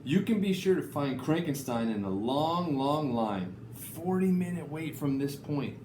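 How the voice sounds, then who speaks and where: A man reading picture books aloud to children in an animated, deliberate storytelling style. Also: on the high side. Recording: echoey room, faint background noise.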